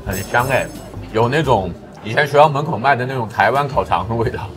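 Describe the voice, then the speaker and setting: A man's voice: medium pitch, brisk, over music. A man hosting a food vlog, chatting casually and explaining as he goes. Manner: conversational, explanatory. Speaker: food vlogger, host. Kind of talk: casual on-location food review.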